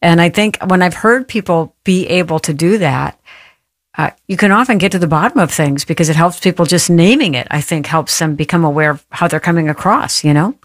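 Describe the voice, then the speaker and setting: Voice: mid-range voice, a little fast, no room sound, studio quality. A podcast host who asks questions and reflects on the answers in an earnest, encouraging way. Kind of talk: podcast interview.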